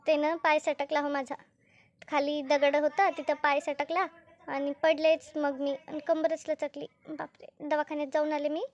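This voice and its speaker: A woman's voice: high voice, little pitch movement, moderate pace, highs cut off. A woman voicing an injured village mother, weary and bossy, in an amateur cartoon comedy dub. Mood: weary, plaintive. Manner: plaintive, weary, ordering others about.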